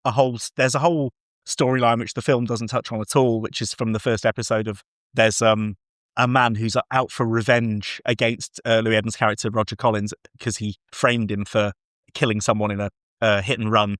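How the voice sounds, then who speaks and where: A man's voice: on the low side, level tone, brisk, fairly bright tone, no room sound. Rambling, enthusiastic man on a dry mic. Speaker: podcast host. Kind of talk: casual film and TV discussion.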